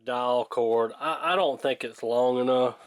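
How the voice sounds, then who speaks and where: A man giving casual hands-on workshop commentary, thinking aloud in a halting, plainspoken, folksy way, like a hobbyist hosting a repair video. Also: mid-range voice, no room sound, faint music behind.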